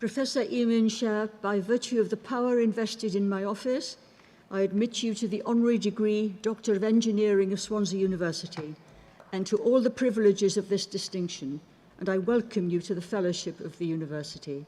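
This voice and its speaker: Mellow-voiced chancellor conferring a degree. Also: medium pitch, steady tone, a little fast. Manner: solemn, formulaic delivery. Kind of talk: formal reading of a conferral, in English and Welsh.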